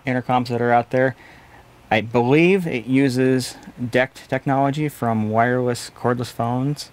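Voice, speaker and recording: Low-pitched man reviewing gear. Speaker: product reviewer. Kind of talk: explanatory gear review to camera. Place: small indoor studio office, seated at desk.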